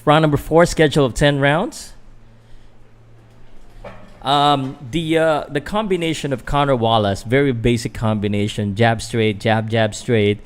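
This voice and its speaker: A man's voice: mid-range voice, fairly even intonation, a little slow, clean tone. A young man from the Philippines giving loose live commentary on a boxing fight, full of fillers, chatting with viewers as he goes. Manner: casual, hesitant, many fillers, answers chat. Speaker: boxing commentator and channel host.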